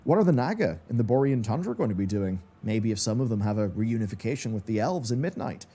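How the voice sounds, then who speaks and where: A man's voice: fairly low pitch, sing-song, fast, dark tone, slightly rough. A man delivering scripted commentary in the style of a video essay.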